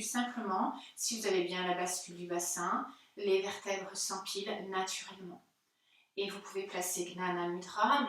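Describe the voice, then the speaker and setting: A woman teaching yoga, guiding a meditation in a gentle, soothing manner with pauses. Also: fairly low pitch. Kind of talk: guided yoga nidra meditation instruction. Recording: very echoey room, distant mic.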